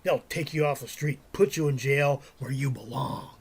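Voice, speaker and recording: Casting: actor for threatening male grouch in a radio play, raspy and gravelly, scornful delivery. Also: medium pitch, moderate pace. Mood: stern, threatening. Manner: stern, scornful, bullying. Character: mean, threatening man chasing off a vagrant. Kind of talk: audio drama acting.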